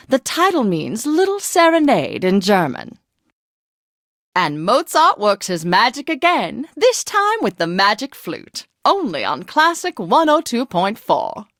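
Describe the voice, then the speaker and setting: Flamboyant, lively classical radio DJ lady. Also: mid-range voice, no echo, studio quality. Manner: theatrical, affected, gushing, old-time slang and endearments. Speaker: radio host. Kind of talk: old-fashioned radio DJ patter for a video game.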